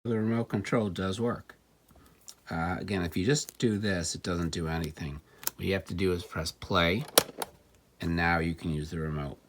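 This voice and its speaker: Low-voiced male vlogger, fry in the tone, thinking out loud while demonstrating gear; slight background noise. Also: steady tone, moderate pace. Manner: musing, thinking aloud. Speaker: vlogger demonstrating and repairing vintage electronics. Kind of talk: casual hands-on demonstration talk.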